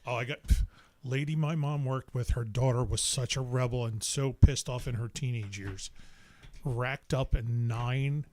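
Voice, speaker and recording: A man guesting on a podcast, a bass player and singer, telling stories in casual chat with a slow, halting delivery full of restarts. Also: a little mellow.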